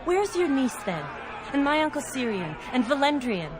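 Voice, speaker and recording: A woman's voice: high voice, very animated, normal speed, crowd noise, background noise. An actress voicing a fiery, suspicious young elf woman from a slum in a video game, blunt and urgent. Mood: defiant, sarcastic.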